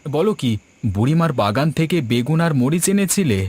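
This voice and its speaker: A man's voice: on the high side, sing-song, fairly quick, darkish tone. A man voicing a mild, placating village husband and father in a cartoon folk tale. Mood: placating, caring. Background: clear background music.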